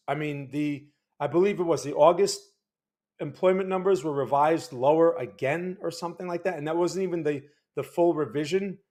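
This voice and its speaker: A man's voice: fairly high pitch, darkish tone. Chatty man hosting a livestream. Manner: chatty, reads out chat names, loose restarts. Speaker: market analysis livestream host. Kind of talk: casual livestream talk. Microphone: desk microphone.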